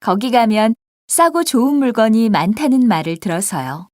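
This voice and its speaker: A woman voicing scripted dialogues and example sentences for a language textbook, with clear, expressive line reading. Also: a little high, normal speed, dry recording, clean studio sound. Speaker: textbook audio voice actor.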